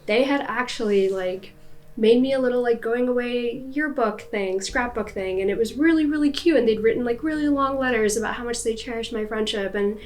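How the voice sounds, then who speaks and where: A woman's voice: a little high, brisk, darkish tone, a little hiss or hum. A young woman with an American accent telling a personal story in a chatty, casual way, with many fillers. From United States.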